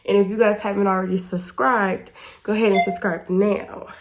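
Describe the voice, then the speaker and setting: A woman in her twenties with an American accent, chatting casually and emphatically in a storytime vlog, her voice slightly hoarse and stuffy-nosed. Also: medium pitch, level tone, normal speed, low-quality recording. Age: 20s. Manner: informal, slangy, chatty and emphatic. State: congested and slightly hoarse from pollen. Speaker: YouTube storytime vlogger. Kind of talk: casual storytime chat to camera.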